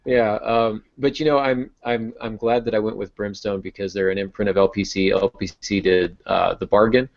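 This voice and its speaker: Low-voiced man chatting, dull lo-fi audio. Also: steady tone, normal speed, creaky, hardly any pauses. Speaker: novelist and podcast co-host. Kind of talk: casual chat, storytelling.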